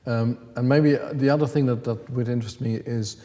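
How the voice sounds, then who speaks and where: A man's voice: medium pitch, fairly even intonation, a little fast, mellow. A male interviewer asking long, searching questions with frequent hesitations. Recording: long reverb of a hall.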